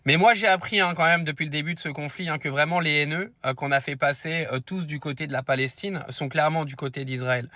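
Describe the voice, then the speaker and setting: Bright, forceful indignant man. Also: a little high, fast, few pauses, no room sound. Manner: blunt, indignant, slangy. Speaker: commentator reacting to a video. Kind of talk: opinionated reaction commentary.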